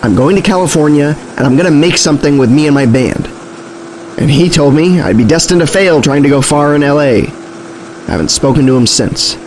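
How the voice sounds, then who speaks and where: An earnest, nervy young guy in an audio drama. His mid-range voice swings expressively, and he stammers in a self-deprecating way. A vehicle engine is clearly heard around him. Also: on the high side, animated, brisk. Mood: rueful, candid. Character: earnest, jittery male failed musician riding along. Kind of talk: naturalistic audio drama dialogue. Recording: noisy vehicle interior with engine.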